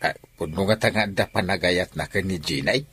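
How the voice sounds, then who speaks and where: A man's voice: deep voice, normal speed, a bit bright, husky, exaggerated voice. A man playing a blunt fellow in an Ilocano comedy radio drama, speaking with an Ilocano accent.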